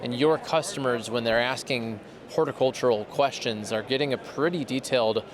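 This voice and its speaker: A man's voice: medium pitch, moderate pace, crowd noise. Man, podcast host, upbeat and chatty, asks easy follow-ups, working a trade show floor. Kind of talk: casual interview.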